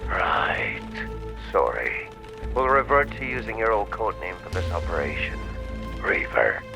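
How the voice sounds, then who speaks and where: An actor playing a stern, manipulative colonel in a sci-fi audio drama, with a gravelly, commanding, secretive delivery. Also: male voice, sits low, sing-song, exaggerated voice. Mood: businesslike, commanding. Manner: commanding, deliberate, dropping into gravelly near-whispers. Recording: music bed under the voice. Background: music.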